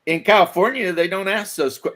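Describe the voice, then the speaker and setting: A man's voice: high voice, a little fast, fairly bright tone. A man in a casual group chat, telling stories in a laid-back, wry way.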